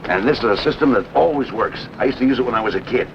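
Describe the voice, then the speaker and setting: An actor playing an exasperated male straight man who fires off quick, incredulous questions. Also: medium pitch, creaky, lightly acted. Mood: scheming. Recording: dull lo-fi old recording with loud hiss.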